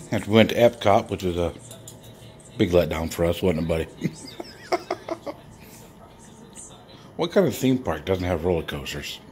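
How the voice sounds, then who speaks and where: A man's voice: low-pitched, big pitch swings, a little slow, long pauses, darkish tone, slight background noise. A man casually showing off his toy car finds in a rambling vlog, chuckling often and using many fillers, filmed on a handheld phone. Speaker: toy car collector vlogger. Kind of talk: casual show-and-tell vlog. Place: indoors, filming toys laid on a bedsheet. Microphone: handheld phone camera.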